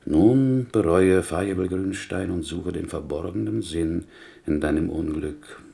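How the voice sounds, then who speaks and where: A man acting in a German radio play as a talkative, pious butcher from Poland, gentle and wily, rambling along in inverted, Yiddish-style phrasing. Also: deep voice, measured pace, creaky. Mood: pious, reflective.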